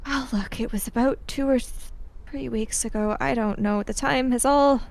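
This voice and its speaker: A woman's voice: on the high side, fairly even intonation, normal speed, gravelly. A woman playing in a tabletop role-playing game, speaking in casual improvised conversation. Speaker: tabletop role-play player.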